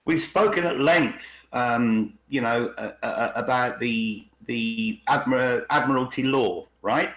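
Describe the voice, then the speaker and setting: A man co-hosting a podcast, explaining conversationally and slowing down to stress key words. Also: mid-range voice, a bit bright. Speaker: podcast co-host. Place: indoors on an online group call. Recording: roomy, echoing online call.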